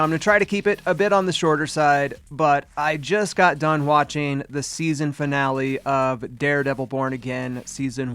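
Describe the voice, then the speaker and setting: A man's voice: fairly high pitch, a bit bright, smooth. A man hosting a casual video commentary, chatty and upbeat, his voice slightly congested as he recovers from a sinus infection. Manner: chatty, upbeat and rambling, with fillers. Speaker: host.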